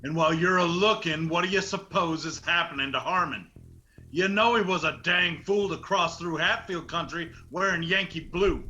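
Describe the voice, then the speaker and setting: A man's voice: fairly high pitch, moderate pace, bright, forceful tone, highs cut off. An actor playing a hot-tempered, suspicious mountain man in a radio drama, brusque and challenging, with an Appalachian accent. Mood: irritated.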